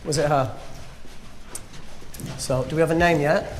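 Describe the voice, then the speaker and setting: A man's voice: on the high side, steady tone, measured pace, slight background noise, lightly acted. A young man acting as a flippant, thrill-seeking medical expert in a drama, glib and wisecracking. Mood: probing, glib. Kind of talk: naturalistic screen acting. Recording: background music under dialogue.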